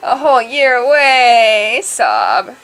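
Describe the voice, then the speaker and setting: A woman's voice: on the high side, huge pitch swings, slow pace, fairly bright tone, a little hiss or hum. A woman reading visual novel dialogue aloud as a let's play host, playful and casual in her delivery. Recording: music behind.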